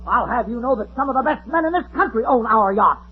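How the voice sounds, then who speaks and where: A man's voice: extremely high pitch, lively intonation, fast talker, a little hiss or hum. An actor playing a pushy, indignant yacht salesman, insistent and huffy, in 1940s radio comedy style. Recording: tinny 1940s radio recording.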